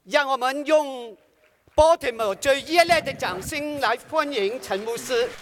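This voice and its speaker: A man's voice: extremely high pitch, measured pace, fairly bright tone, slight background noise. A man hosting a conference, formally introducing the guest preacher. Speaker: conference host. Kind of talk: speaker introduction. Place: large church hall. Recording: hall reverb.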